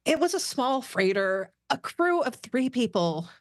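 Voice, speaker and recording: A woman's voice: on the high side, very animated, a little slow, dry recording, voice pushed up. An embarrassed young alien niece from a cartoon sci-fi script, performed by a woman at a table read. She is earnest and exasperated, pleading like a teen. The recording is close and dry.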